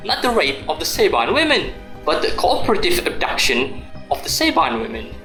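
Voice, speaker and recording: Radio drama: male actor as argumentative, pedantic employee on the defensive, very animated, echoey room, faint music. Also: high voice, normal speed, creaky, full character voice. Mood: pedantic, explaining. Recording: roomy echo, music underneath.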